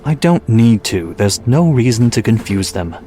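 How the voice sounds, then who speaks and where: A man playing a gruff, fatalistic steam train engineer in an audio drama, speaking in a terse, blunt way. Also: mid-range voice, sing-song, moderate pace, warm tone, faint music behind, close to an everyday voice. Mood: dismissive, blunt. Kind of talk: amateur audio drama acting.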